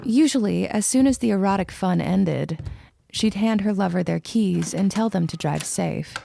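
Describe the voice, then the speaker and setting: A woman's voice: medium pitch, normal speed, vocal fry, dark tone, a little hiss or hum. A woman reading romance fiction as a scripted audiobook narration.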